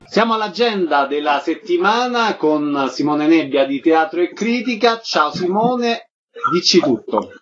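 A man hosting a radio show, asking short, brisk questions and giving the listings. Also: a little high, animated, faint music behind. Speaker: radio host. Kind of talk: radio interview. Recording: dull radio studio sound.

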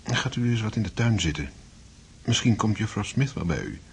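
Deep-voiced paternal doctor. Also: male voice, animated, gravelly, a little hiss or hum. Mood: soothing, patronising. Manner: measured, soothing, patronising. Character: calm, paternal male doctor, smoothly persuasive. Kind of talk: Dutch radio drama acting.

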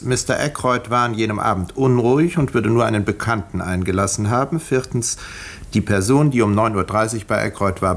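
An actor playing a composed, educated doctor in a 1950s German radio mystery, speaking in a measured, dry and precise way. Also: male voice, fairly low pitch. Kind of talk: 1950s German radio drama narration and dialogue.

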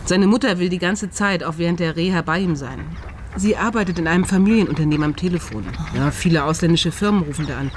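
An actress playing a practical, encouraging friend with a wry streak in a radio crime drama, speaking in an animated, conversational way. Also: female voice, lowish voice, quick pace, slight background noise, natural voice.

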